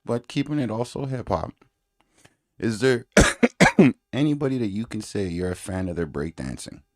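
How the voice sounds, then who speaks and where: A man's voice: lowish voice, expressive, normal speed. A man runs a loose, slang-heavy interview. He laughs easily and keeps the talk casual. The sound is dry and close, with no room echo. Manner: laid-back slangy talk, laughs often. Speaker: show host.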